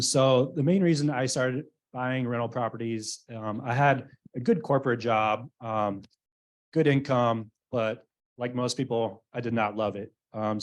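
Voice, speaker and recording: A man speaking on a meeting panel, plain and matter-of-fact, with many ums. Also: medium pitch. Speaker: panelist, real estate investor. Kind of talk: casual panel talk.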